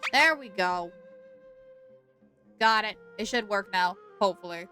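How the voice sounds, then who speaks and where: A woman's voice: mid-range voice, animated, a little slow, bright, forceful tone, soft background music. A young woman streaming a game live, chatting casually and giggling with her viewers.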